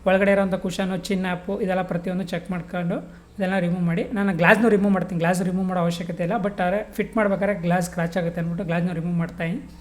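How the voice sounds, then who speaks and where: A woman's voice: on the low side, level tone, rapid-fire. A woman giving a casual step-by-step tutorial, colloquial and mixing in English words. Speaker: vlogger demonstrating gear installation.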